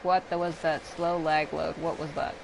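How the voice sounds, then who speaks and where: A woman's voice: low voice, flat delivery, normal speed, creaky, very noisy. A woman livestreaming a video game, rambling and thinking aloud in casual commentary. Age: adult. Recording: game music behind the voice. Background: video game music.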